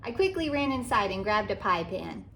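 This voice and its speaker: A woman hosting a read-aloud as a children's author, narrating a picture book in a deliberate, animated way for young children. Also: medium pitch, faint music behind. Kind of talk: expressive children's storybook narration.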